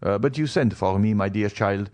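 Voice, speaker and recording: A man reading an audiobook, voicing a kindly, calm older male physician who speaks slowly and soothingly. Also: fairly low pitch, no room sound, close to an everyday voice. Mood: gentle. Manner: slow, soothing, measured.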